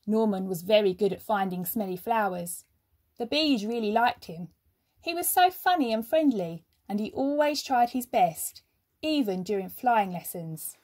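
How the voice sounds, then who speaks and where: A woman reading a children's story aloud like a teacher, with expressive, measured phrasing and emphatic pauses. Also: mid-range voice.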